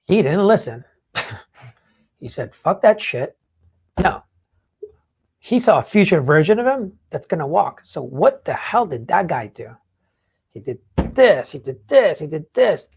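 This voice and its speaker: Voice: mid-range voice, sing-song, measured pace, gravelly, darkish tone, slightly rough. A podcast host talking casually and emphatically in a rambling way, swearing freely while explaining ideas. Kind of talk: casual talk explaining book takeaways.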